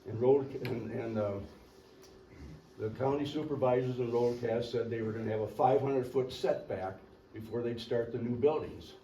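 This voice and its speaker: An older man recounts local history in a rambling, folksy way, pausing to clear his throat. His tone is mellow and dark. He is picked up from a distance in an echoey meeting room with a faint hiss behind. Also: mid-range voice. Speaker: local resident speaking at a public meeting. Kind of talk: storytelling public comment.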